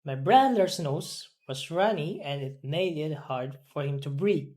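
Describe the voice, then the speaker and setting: Low-voiced woman, slow careful learner. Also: lively intonation, dark tone. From Philippines. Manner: careful, halting repetition. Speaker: English pronunciation student. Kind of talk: repeating drill words and reading practice sentences.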